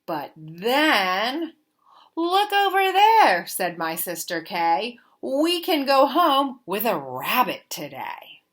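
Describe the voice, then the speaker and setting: A woman reads a children's story aloud in a bright, fairly high voice. Her delivery is slow and deliberate, with sing-song emphasis and big swings in pitch, as a teacher would read to small children. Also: very animated. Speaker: teacher reading a picture book aloud.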